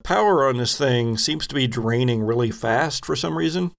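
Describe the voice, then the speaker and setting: A man with a creaky, mid-pitched voice runs a tabletop game. He improvises his narration conversationally and feels his way through descriptions with small hesitations. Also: moderate pace. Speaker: game master of a tabletop RPG podcast.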